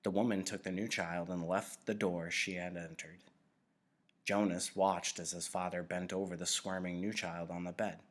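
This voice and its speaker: A man's voice: sits low, little pitch movement, normal speed. A man reading a novel aloud as a storyteller, narrating the scenes.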